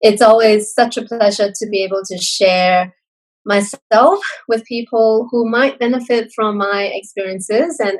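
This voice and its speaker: An earnest woman in her forties, originally from Singapore, tells her story as an interview guest. Her voice sits in a middle pitch, and she talks reflectively, restarting phrases and filling pauses with "um" and "you know.". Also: rough recording. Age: 40s. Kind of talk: candid personal storytelling.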